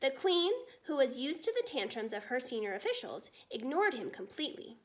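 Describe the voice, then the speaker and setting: A woman reads a children's story aloud as narrator. Her voice is high, and her scripted delivery is expressive, with lively movement in pitch. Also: a little fast. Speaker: storybook reader.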